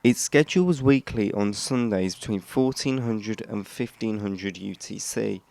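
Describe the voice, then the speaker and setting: Male host for scripted explainer narration: low, resonant voice with vocal fry, slightly stumbling read. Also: measured pace, darkish tone, no echo. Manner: stumbles over and re-reads scripted phrases. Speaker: host of a shortwave radio history channel.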